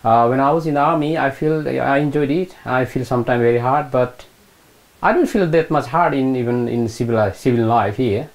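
A man's voice: mid-range voice, normal speed. A middle-aged man from eastern Nepal with a Nepali accent, recalling his life hesitantly in an interview, often repeating phrases. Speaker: interviewee, retired British Army Gurkha. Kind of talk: oral history interview, personal recollection. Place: small room indoors, seated on a sofa. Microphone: lapel mic.

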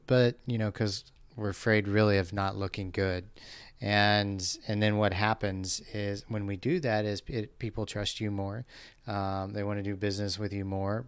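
Smooth low-voiced male podcast host. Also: dry recording. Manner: encouraging, affirming. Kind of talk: casual interview talk.

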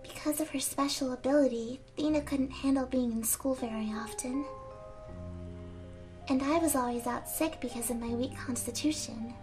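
A young woman voicing a gentle, frail, wistful teenage girl in a video game fan dub, speaking softly and earnestly. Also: high-pitched, normal speed, natural voice. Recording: amateur fan dub over game music. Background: game music.

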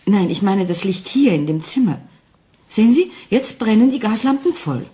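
An actress playing a frightened wife who doubts her sanity, speaking anxiously and haltingly in a German crime radio play. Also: medium pitch, animated, warm tone, lightly acted. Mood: fearful, urgent. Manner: anxious, halting, intense, dropping into whispers.

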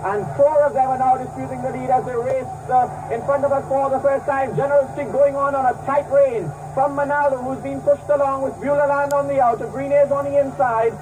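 A man calling a horse race in rapid, clipped commentary. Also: very high voice, far from the mic, poor audio. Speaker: race caller.

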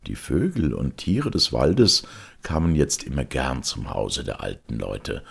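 A man narrating a fairy tale as a storyteller, theatrical and expressive. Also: a bass voice, a little slow, creaky, breathy edge. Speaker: storyteller, audiobook reader.

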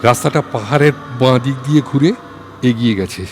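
A man's voice: medium pitch, fairly quick, darkish tone, natural voice. A man acting a deferential, wary assistant in an audio story, speaking hesitantly and repeating himself. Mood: deferential, puzzled.

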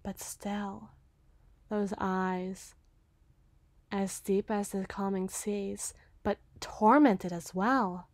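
A woman's voice: medium pitch, steady tone, extremely slow, no echo. A woman playing a scheming, obsessive, smitten witch in an ASMR roleplay, speaking theatrically in old-fashioned phrases. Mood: brooding infatuation. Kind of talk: ASMR roleplay audio drama.

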